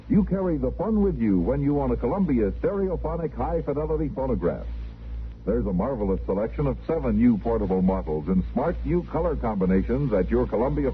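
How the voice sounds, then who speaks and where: A man with an American accent reading a polished 1950s radio commercial as announcer. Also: mid-range voice. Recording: tinny narrow-band vintage radio, faint music.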